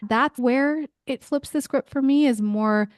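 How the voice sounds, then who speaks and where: A woman in her thirties co-hosting a podcast chat, talking in a reflective, animated way and poking fun at herself. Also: high voice, unhurried, no echo, studio quality. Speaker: podcast co-host. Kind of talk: casual chat.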